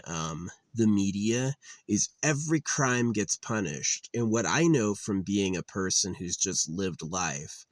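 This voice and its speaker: A man's voice: fairly low pitch, dry recording. A man in his late thirties musing in a rambling, weary monologue, with lots of fillers and restarts. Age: 30s. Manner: weary, resigned. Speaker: channel host.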